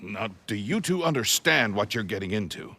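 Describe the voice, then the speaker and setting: An actor playing a fussy, protective older scientist in an animated adventure game, with a husky voice. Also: male voice, lowish voice, moderate pace, full character voice. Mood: cautioning.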